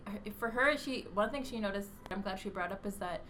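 A woman's voice: medium pitch, brisk, fairly bright tone, soft background music. A woman in casual conversation, chatty and full of fillers and restarts. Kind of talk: casual chat.

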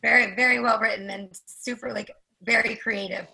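A woman chatting casually in an animated, exclamatory way. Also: a little high, normal speed, gravelly, a little hiss or hum, rough recording. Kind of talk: casual chat.

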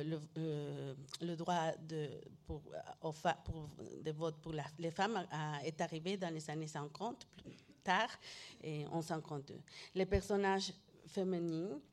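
Woman lecturing in halting French. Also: lowish voice, darkish tone. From Mexico. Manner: halting, frequent restarts and self-corrections in a second language. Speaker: guest lecturer, artist. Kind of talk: lecture with slides.

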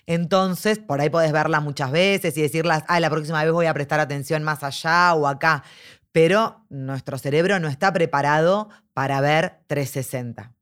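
A woman's voice: sits low, moderate pace, smooth. A woman with an Argentine Spanish accent, speaking enthusiastically as an interviewee about an immersive dome cinema. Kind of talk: casual interview.

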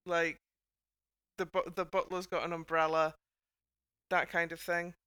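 A woman's voice: on the low side, level tone, a little slow, fairly bright tone, clear, resonant tone, no echo, clean studio sound. A woman hosting a casual panel chat in a chatty, wry manner. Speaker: podcast host.

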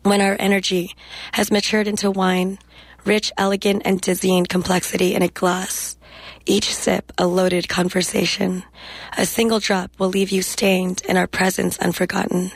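A young woman guest speaking reflectively in a radio interview and reading her poetry in a measured way. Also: mid-range voice, little pitch movement, gravelly, dry recording, rough recording. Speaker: guest poet.

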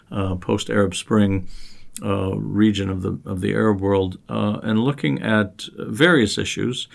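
A man's voice: fairly low pitch, gravelly. A man hosting a podcast as a professor, chatting in a friendly way and listing places and names one by one. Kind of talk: casual podcast chat.

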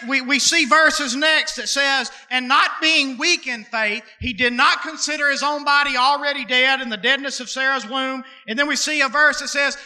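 Male pastor, 30s, folksy sermon delivery, very high and piercingly bright voice. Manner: folksy and conversational, slips into dialect; preaching cadence with drawn-out emphasis.

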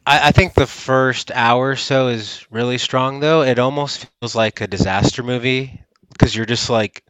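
A man co-hosting a casual podcast chat, relaxed and teasing as he talks. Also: medium pitch, moderate pace, smooth, dry recording, low-quality recording. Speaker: podcast co-host.

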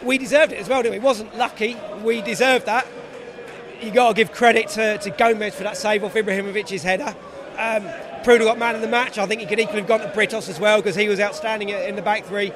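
A hoarse man chatting about football outdoors, confident and upbeat. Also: sits high, steady tone, fast talker. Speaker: guest fan. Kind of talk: casual football chat. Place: outdoors by a stadium. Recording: crowd noise behind.

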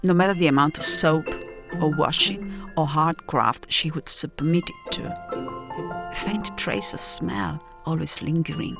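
A woman reading a literary first-person travel story, reflective and dramatic, with pauses between phrases. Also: deep voice, gravelly, music in the background. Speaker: narrator.

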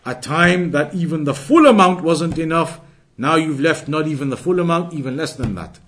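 A man's voice: a little high, normal speed, a bit bright, slight background noise. A man with a British accent giving an Islamic lesson as a teacher, repeating phrases for emphasis and explaining with everyday analogies. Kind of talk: religious lecture.

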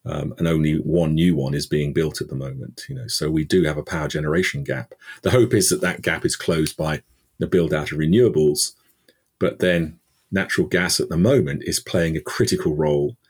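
Very deep-voiced husky British man. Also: fairly quick. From United Kingdom. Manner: thoughtful, many "you know" fillers and restarts. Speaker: energy expert guest. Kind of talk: podcast interview answer.

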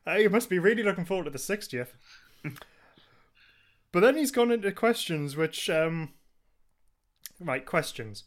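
A man's voice: high-pitched, lively intonation, moderate pace, long pauses. A man hosting a podcast, chatting casually and reading out messages from listeners.

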